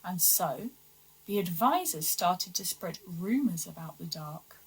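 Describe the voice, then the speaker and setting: A woman reading a children's storybook aloud with expressive, deliberate, dramatic emphasis. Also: fairly low pitch, slightly rough, poor audio. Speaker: story reader for schoolchildren.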